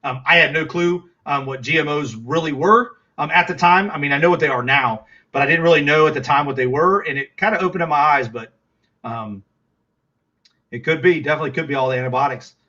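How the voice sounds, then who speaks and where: Middle-aged Texan man, livestream host, rambling and chatty, slightly high bright voice. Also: brisk, lo-fi sound. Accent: Texas. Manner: rambling, chatty, full of fillers and restarts. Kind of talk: casual livestream chat.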